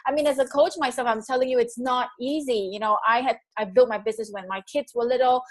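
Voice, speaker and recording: A woman's voice: on the high side, fairly even intonation, fast talker. Upbeat Singaporean woman host. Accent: Singaporean English. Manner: enthusiastic, laughs often, restarts phrases. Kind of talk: interview chat.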